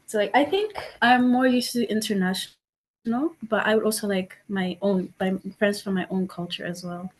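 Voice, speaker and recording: A woman's voice: mid-range voice, moderate pace, poor audio. A young woman from Mozambique, a podcast guest answering casually with many likes and restarts. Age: young adult.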